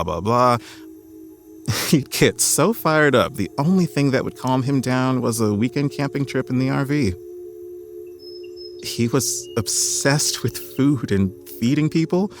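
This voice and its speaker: Grumpy, wry man of science. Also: lowish voice. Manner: sardonic, halting when emotional. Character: grumpy, wry male fossil scientist, grieving his colleague. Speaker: actor in an audio drama. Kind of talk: audio drama acting.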